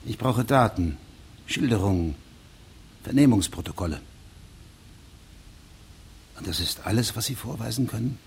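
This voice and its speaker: An actor playing a formal, persistent investigating judge who questions witnesses precisely in a German radio drama. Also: male voice, on the low side, expressive, moderate pace, creaky, pauses often, a little mellow, a little hiss or hum. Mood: formal, probing.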